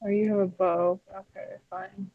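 A woman's voice: medium pitch, level tone, moderate pace, phone-quality sound, close to an everyday voice. A woman voicing a wary, irritated puppet in an improvised game roleplay, guarded and blunt. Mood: reluctant. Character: wary, irritated female puppet, stubbornly refusing her evil double.

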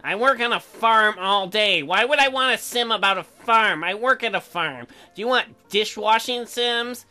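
A man's voice: sits high, moderate pace, hardly any pauses, bright, forceful tone, faint music behind. A man voicing an earnest, goofy young farmer boyfriend who dreams big, in a visual novel game. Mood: indignant.

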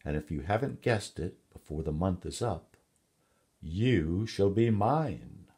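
Man putting on a malicious, gloating little imp, husky-voiced. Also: deep voice, lively intonation, takes it slowly, dark tone, heavily acted. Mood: gloating menace. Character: small malicious tail-twirling imp, gleefully taunting.

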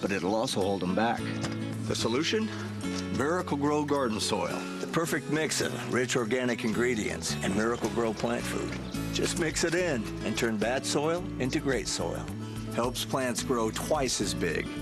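A man's voice: mid-range voice, lively intonation, moderate pace, loud music behind the voice. A man reading a garden product commercial in a friendly, upbeat way. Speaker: ad narrator. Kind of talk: commercial voice-over.

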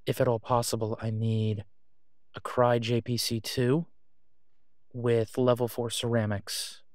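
A man playing an awkward, guarded man in a naturalistic audio roleplay, speaking hesitantly and low-key. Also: little pitch movement, a little slow, a little mellow, dry recording, clean studio sound, natural voice. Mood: careful, halting. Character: awkward, guarded man discreetly seeking gear to protect someone.

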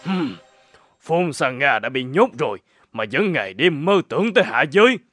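A man playing a wrathful, commanding old emperor of heaven in an animated fairy tale, stern and imperious. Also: fairly high pitch, normal speed, soft background music. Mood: cold, stern.

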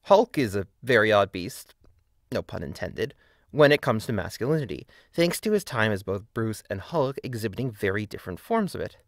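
A man's voice: lowish voice, big pitch swings, normal speed, dry recording. A man narrating a scripted video essay in a critical, emphatic, argumentative way.

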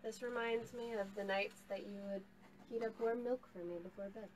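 A woman's voice: fairly high pitch, steady tone, moderate pace, a little mellow, a little hiss or hum, rough recording. A young woman guest at a tea shop tasting, reacting to the teas and teasing the host, caught by a camera across the table. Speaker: guest taster. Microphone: far camera across table.

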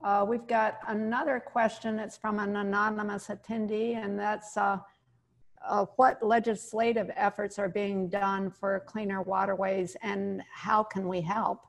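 A middle-aged woman moderates a panel from home. She speaks slowly and haltingly at a medium pitch, with noticeable fry in her voice. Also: little pitch movement. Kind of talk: casual panel discussion. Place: living room at home, on a video call. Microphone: lapel mic.

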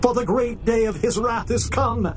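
A man's voice: very high voice, moderate pace, background noise. A man voicing terrified kings and mighty men of the earth, crying out in panic over music. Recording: distant voices over music.

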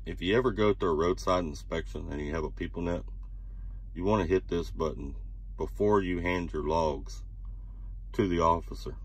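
A man who drives trucks, talking casually and plainly as he walks through his cab tablet in a dark truck cab. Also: very deep voice, a little hiss or hum. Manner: plain-spoken, rambling, uses "like" and "yada yada". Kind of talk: casual screen walkthrough.

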